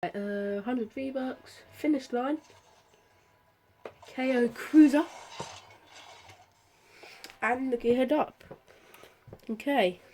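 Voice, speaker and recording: A woman's voice: sits high, very slow, pauses often, darkish tone, a little hiss or hum. A woman chatting casually and off-script in short, muttered phrases.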